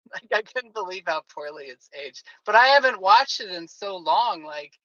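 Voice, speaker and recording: A man's voice: high-pitched, animated, moderate pace, pushed and bright, no room sound, muffled recording. A man in his late forties chatting casually on a podcast, rambling with lots of fillers. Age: 40s. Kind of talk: casual chat.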